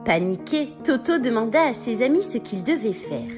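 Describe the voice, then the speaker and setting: A woman's voice: a little high, big pitch swings, moderate pace. A woman narrating a scripted children's story as a storyteller. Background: music.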